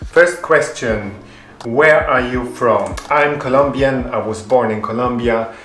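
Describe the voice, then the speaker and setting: A man in his twenties, a native Spanish speaker raised in Germany, reading out fan questions and answering them calmly in a vlog. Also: medium pitch, slow pace, echoey room. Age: 20s. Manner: reads each question aloud, then answers in a calm, even way. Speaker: fashion YouTuber. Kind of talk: Q&A vlog narration.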